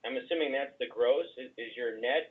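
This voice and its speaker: A man asking a business question in a halting, conversational way over a telephone conference line. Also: medium pitch. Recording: tinny band-limited telephone line.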